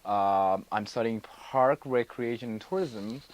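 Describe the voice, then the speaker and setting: Halting young man from Indonesia. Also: on the low side, low-quality recording. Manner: careful, halting delivery with restarts. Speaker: student guest. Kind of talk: mock TV interview, explaining coursework.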